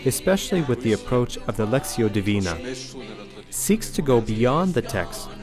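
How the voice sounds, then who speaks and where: A man's voice: medium pitch, big pitch swings, moderate pace. A man reading a scripted voice-over translation for a documentary report. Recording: music bed under the voice. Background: music.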